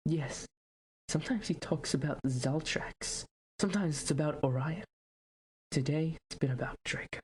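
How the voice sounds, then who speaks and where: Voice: mid-range voice, moderate pace, darkish tone, slight background noise, close to an everyday voice. An actor playing an anxious young prince who misses his father, speaking in a hesitant, plaintive, earnest way in an audio drama. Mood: sad, subdued. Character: anxious, grieving young prince, devoted son. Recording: music bed behind the voice.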